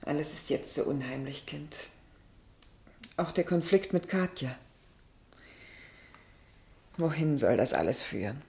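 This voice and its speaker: An anxious middle-aged wife and mother in a radio drama. Her voice is very low for a woman, with a fretful, pleading manner. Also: animated, a little slow, gravelly, pauses often, darkish tone, exaggerated voice. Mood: fearful, uneasy. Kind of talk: German radio drama acting.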